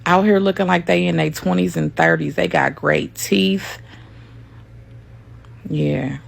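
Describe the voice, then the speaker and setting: Gravelly husky woman, amused chatter. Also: lowish voice, slow. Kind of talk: casual commentary clip.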